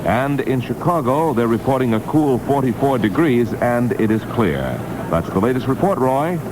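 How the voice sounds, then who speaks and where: A man reading a traffic and weather report in a formal, measured 1960s radio manner. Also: mid-range voice, sing-song. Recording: noisy vintage radio, vehicle-like drone.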